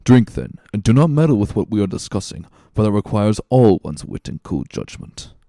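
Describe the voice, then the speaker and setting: A man plays a scheming, scornful plotter in a classic storybook drama. The voice is mellow with a creaky edge. He talks with sly, measured condescension. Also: lowish voice, very animated, no room sound, studio quality. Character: cunning, scornful, smooth-talking male schemer.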